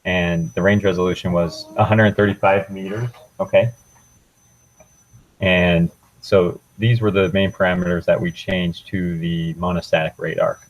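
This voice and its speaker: A young man giving an informal technical presentation as a student, walking through a software demo in a hesitant, halting way. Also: deep voice, little pitch movement, poor audio.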